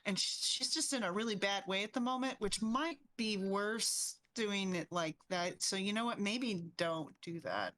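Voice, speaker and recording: Halting female tabletop game player. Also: medium pitch, fairly bright tone. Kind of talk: casual in-character game chat.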